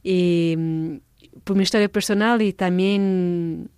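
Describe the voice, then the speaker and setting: Portuguese-born nun, radio guest, low-ish smooth voice, hesitant phrasing, dry close mic. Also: female voice, steady tone, a little mellow, dry recording. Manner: halting, searching for words, many restarts. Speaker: religious sister, guest. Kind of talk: radio interview.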